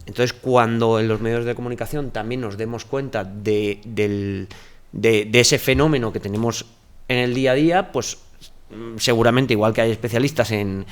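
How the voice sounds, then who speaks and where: Guy on a panel mic, earnest, keeps restarting words, smooth clear voice. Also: medium pitch, steady tone, normal speed. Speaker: journalist panelist. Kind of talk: panel discussion. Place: lecture hall.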